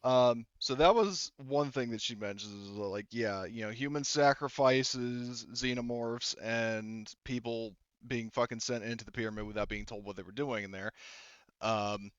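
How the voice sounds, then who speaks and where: Bright, animated man on dull mic. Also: medium pitch, normal speed, smooth, no echo. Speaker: tabletop roleplaying game player or narrator. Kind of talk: casual game talk, joking.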